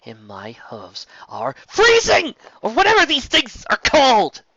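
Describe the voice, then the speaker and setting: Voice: sits high, wildly swooping pitch, measured pace, bright, forceful tone, dry recording, dull audio, pitched above the performer's own voice, heavily acted. A narrator voicing a young female unicorn who is easily embarrassed and given to exasperated complaint, in a storybook fan fiction.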